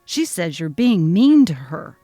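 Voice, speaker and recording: A woman voicing a young husband who talks calmly and dismissively. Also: fairly low pitch, measured pace, no echo, soft background music. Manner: calm, brushing off, patronizing. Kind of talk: dramatic reading of a text-message story.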